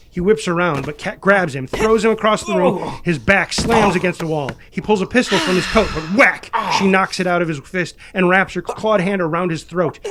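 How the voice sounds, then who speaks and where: A man's voice: fairly high pitch, fairly bright tone. A man narrating action and stage directions from a script in a dramatic style.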